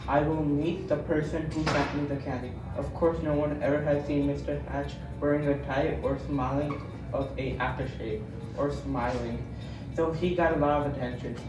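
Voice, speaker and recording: Male storybook reader, halting and stumbling, echoey and away from the mic. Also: fairly high pitch, steady tone, noisy background. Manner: halting, trips over words. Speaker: student reader. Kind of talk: reading a children's storybook aloud. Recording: roomy, distant from mic, loud music. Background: music.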